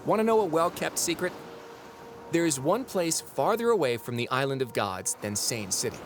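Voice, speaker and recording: An actor playing a sly, conspiratorial narrator in an audio drama, confiding and theatrical, with ominous relish. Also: male voice, a little high, big pitch swings, moderate pace, slight background noise. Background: music.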